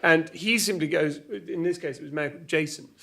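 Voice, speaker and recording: A man playing a flustered, exasperated storyteller, halting and repeating himself through a comic monologue full of petty grievances. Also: fairly high pitch, fairly even intonation, gravelly, a bit bright. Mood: uptight. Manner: halting, repetitive, stumbling over words. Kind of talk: rambling semi-improvised comic monologue with acted argument quotes.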